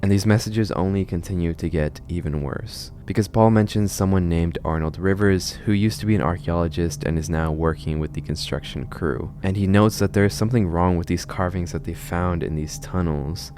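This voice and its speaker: A man's voice: low voice, normal speed, hardly any pauses, a little mellow, slight background noise. A man hosting a video essay on a horror series, explaining it in a conversational way. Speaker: video host, narrator.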